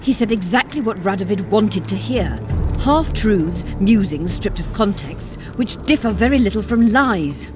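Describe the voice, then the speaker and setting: An actress playing a proud, imprisoned sorceress who pleads urgently for release while keeping a haughty edge. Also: female voice, medium pitch, animated, unhurried, natural voice. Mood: urgent, pleading. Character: proud imprisoned sorceress, middle-aged woman. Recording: game audio with orchestral music.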